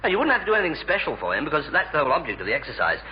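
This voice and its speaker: Fast-talking, flustered young British bachelor. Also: male voice, on the high side, wildly swooping pitch. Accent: British English. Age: young adult. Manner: stammering, wordy. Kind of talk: 1950s radio sitcom acting. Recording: dull, noisy old radio broadcast.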